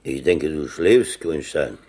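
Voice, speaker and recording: A man's voice: rumbling low, expressive, slow pace, darkish tone, slightly rough, exaggerated voice. An actor playing a stubborn, wry working-class German man in a radio play, speaking in a dry, colloquial, teasing way. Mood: rueful.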